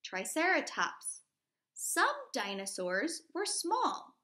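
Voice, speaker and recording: A woman's voice: a little high, sing-song, slow, pushed and bright. A woman in her thirties, a primary school teacher, reading aloud to young children and explaining things simply with questions along the way. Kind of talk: children's read-aloud lesson.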